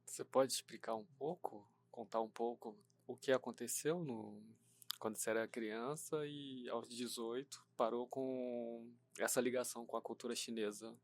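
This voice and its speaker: A man's voice: mid-range voice, fairly even intonation, normal speed. A man hosting a podcast interview, opening the show in an upbeat tone and asking short friendly questions. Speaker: podcast host.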